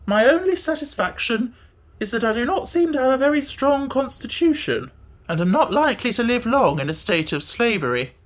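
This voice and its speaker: A man voicing a huge flying creature with a squeaky voice, speaking in formal, mournful phrasing in an audiobook. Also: sing-song, moderate pace. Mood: plaintive. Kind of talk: audiobook character voice.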